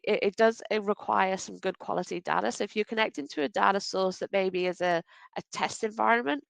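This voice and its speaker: A woman's voice: mid-range voice, vocal fry, no echo, rough recording. A woman from Wales with a faint Welsh accent, presenting a webinar over a video call in a conversational, practical way. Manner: conversational, practical, self-correcting.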